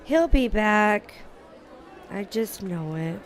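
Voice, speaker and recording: Woman as sad teenage schoolgirl, medium pitch, unhurried, subdued, terse, quietly stubborn, game roleplay. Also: animated, some chatter behind. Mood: sad, insistent. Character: sad, hopeful, stubborn high school girl. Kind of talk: Minecraft roleplay voice acting.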